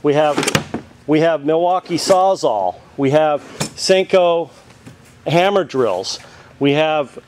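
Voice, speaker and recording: Male auctioneer, slightly raised pitch, reels off item lists on a camera mic in a warehouse. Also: lively intonation, takes it slowly, pauses often, darkish tone, a little hiss or hum. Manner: rattles off long lists of items. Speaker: auctioneer promoting a sale. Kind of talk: walk-through sales promo.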